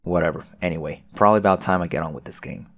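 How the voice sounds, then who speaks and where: A man playing an aloof, sarcastic teenage boy who grumbles and swears in a deadpan way for a comic dub. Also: low voice, racing, lightly acted. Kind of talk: fan comic dub dialogue.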